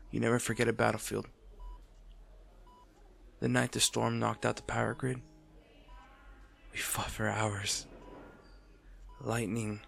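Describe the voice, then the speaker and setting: A man playing a brooding supervillain bitterly attached to his rival, in a hushed, theatrical audio roleplay monologue with deliberate pauses. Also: medium pitch, vocal fry, heavily acted. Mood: wistful, bitter. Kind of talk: dramatic audio roleplay monologue, addressed to the listener.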